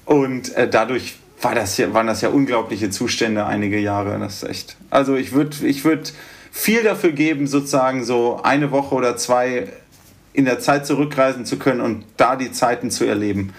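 A man from Göttingen with plain standard German, a guitarist guest chatting relaxed in a podcast interview. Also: mid-range voice, normal speed. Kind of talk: casual interview chat.

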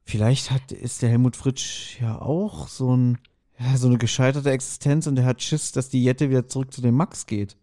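A man's voice: medium pitch, fairly even intonation, normal speed, dark tone. A man co-hosting a podcast in casual chat, speculating and playfully nitpicking with a joking manner. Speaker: podcast co-host. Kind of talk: casual chat discussing an audio drama.

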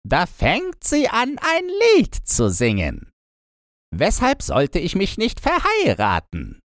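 Storybook crow, played by a male reader: chatty, earnest, very slow and deliberate, close dry sound. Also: high voice, huge pitch swings, fairly bright tone, no room sound, pitched above the performer's own voice, heavily acted. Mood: gossipy, confiding. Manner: deliberate, drawn-out, storytelling delivery. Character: talkative, earnest crow telling palace gossip. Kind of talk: fairy-tale audiobook character voice.

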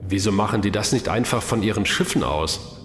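A man playing a brisk, practical explorer in a German science-fiction audio drama, urging his companions along. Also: on the low side, a bit bright, faint music behind, natural voice. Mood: puzzled. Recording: faint background noise.

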